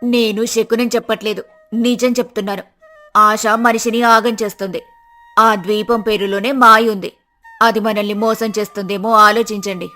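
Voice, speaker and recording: A woman's voice: a little high, flat delivery, normal speed, bright, forceful tone, faint music behind. A woman playing an anxious, sensible village wife in a moral story, admonishing against greed with resignation. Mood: pleading, warning.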